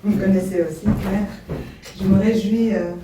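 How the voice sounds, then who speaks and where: A woman's voice: medium pitch, steady tone, moderate pace. A woman greeting a congregation and thanking God, speaking with hesitant fillers. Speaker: guest speaker. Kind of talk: church greeting. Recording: echoey room, distant mic, background noise.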